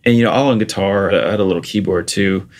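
A man's voice: low-pitched, fast. A man in his thirties from Portugal with an American accent, a guest chatting casually about his start in music, with many "like" and "you know" fillers. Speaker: interview guest, music producer. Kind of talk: casual conversational storytelling.

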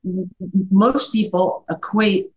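A woman's voice: mid-range voice, takes it slowly, a little hiss or hum, rough recording. A middle-aged woman who works as a life coach, talking earnestly over a video call and often checking in with "right?". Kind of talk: conversational video-call interview.

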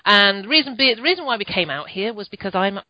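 A woman's voice: mid-range voice, expressive, fast, dry recording. An older woman from the United Kingdom with a British accent, telling her story as a chatty podcast guest who often restarts her sentences. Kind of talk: conversational interview storytelling. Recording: muffled lo-fi dry sound.

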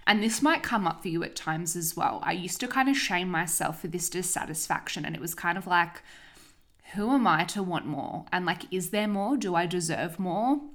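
Low-voiced sniffly woman podcast host. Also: moderate pace. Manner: chatty, upbeat, swears casually. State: sniffly, coming down with a cold. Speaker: podcast host, holistic coach. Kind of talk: casual solo podcast monologue.

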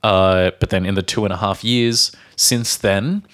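A man co-hosting a podcast, telling rambling, halting anecdotes in casual chat, often stopping to restart his sentences. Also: fairly low pitch, fairly even intonation, a bit bright. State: sober. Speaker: podcast co-host.

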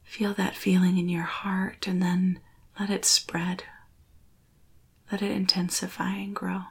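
A woman leading a spiritual guided meditation in a hushed, breathy near-whisper, slow and soothing with long pauses. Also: lowish voice, little pitch movement.

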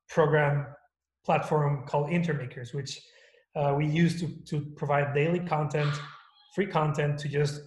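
Echoey man from Mexico, halting. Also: a little high, a little mellow. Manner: halting, many restarts and fillers. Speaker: guest. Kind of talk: casual interview chat. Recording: echoey room.

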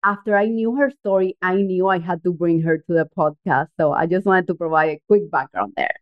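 A woman hosting an interview podcast in an excited, chatty way. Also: lowish voice, a little fast. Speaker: podcast host. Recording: dull lo-fi audio.

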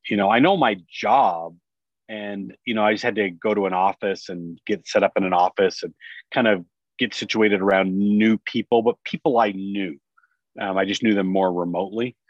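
A man's voice: lowish voice, steady tone, moderate pace, dry recording. A man speaking as a podcast guest, telling his own experience casually in a candid, self-reflective way. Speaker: podcast guest, business executive. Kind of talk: casual personal storytelling in an interview. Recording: dry, slightly dull sound.

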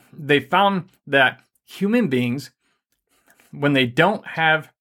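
A man co-hosting a casual faith-based money and marriage talk, energetic and rambling with many fillers. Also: a little high.

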